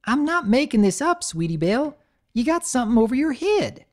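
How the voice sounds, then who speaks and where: A woman's voice: high voice, sing-song, a little fast, darkish tone, pitched above the performer's own voice. A woman voicing a plucky young country filly who speaks bluntly, with folksy slang. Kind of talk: dramatic audiobook reading.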